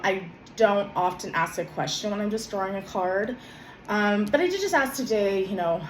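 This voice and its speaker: A woman, a little hoarse from a cold, talking gently with many pauses and self-corrections, then reading her own journal. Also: mid-range voice, roomy sound, a little hiss or hum, low-quality recording. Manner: soft, hesitant, many ums and self-corrections. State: slightly hoarse from a summer cold. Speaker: vlogger.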